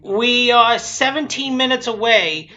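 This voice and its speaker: A creator giving casual, unscripted commentary, rambling and repeating themselves in a halting way. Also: medium pitch, pushed and bright. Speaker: creator commentating on own abridged series. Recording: dull lo-fi mic. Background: show audio and music playing underneath.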